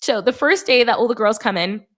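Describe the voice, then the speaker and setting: A young woman with a fairly high voice talks as a podcast host in a casual monologue. Her delivery is chatty and informal, with slangy, emphatic phrasing. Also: animated, a little fast, a bit bright.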